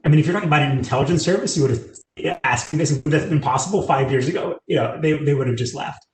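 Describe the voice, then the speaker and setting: A man's voice: fairly high pitch, fast talker, echoey room, poor audio. A man with an American accent, answering interview questions in an earnest, fluent way with frequent "you know" fillers. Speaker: interviewee, tech company public sector lead. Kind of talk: conversational panel interview.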